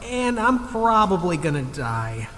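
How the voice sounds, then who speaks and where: An awkward, honest everyman, high and jumpy in pitch, in a reverberant space. He is anxious to please, halting between words and then blurting. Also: very animated, very noisy. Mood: nervous, confessing. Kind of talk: audio drama acting. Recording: long echoey reverb, music underneath.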